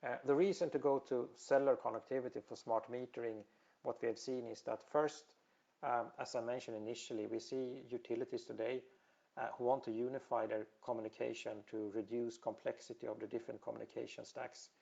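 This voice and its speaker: A man's voice: medium pitch, level tone, fairly quick, vocal fry, dark tone, lo-fi sound. A man from Sweden with a Swedish accent, giving a technical presentation with many fillers and restarts. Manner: hesitant, many fillers and repeated words. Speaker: industry presenter.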